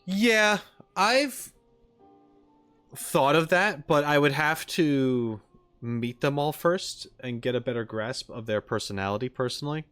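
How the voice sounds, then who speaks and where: A man's voice: a little high, lively intonation, a little slow, a bit bright, smooth, dry recording. A man chatting casually on a livestream as a streamer answering viewers, rambling and speculating as he goes. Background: music in the background.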